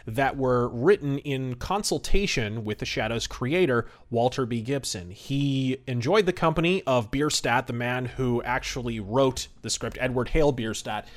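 A man's voice: mid-range voice, normal speed, few pauses. Conversational male podcast host, mid-range voice. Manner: conversational, wry asides. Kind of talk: casual film and radio history commentary.

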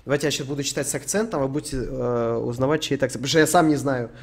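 A man's voice: medium pitch, very fast, slightly rough. A young man from Ukraine streaming, answering chat questions in a rambling, sweary, joking way. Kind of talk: casual stream Q&A.

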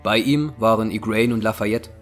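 A man's voice: on the low side, moderate pace, faint music behind. A man narrating a German audio drama in a scripted, dramatic storytelling style.

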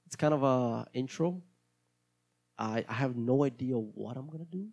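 Gravelly-voiced Brazilian man rambling casually. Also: mid-range voice, normal speed, darkish tone, lo-fi sound. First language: Portuguese. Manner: hesitant, rambling, many restarts. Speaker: podcast host. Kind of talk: casual solo podcast monologue.

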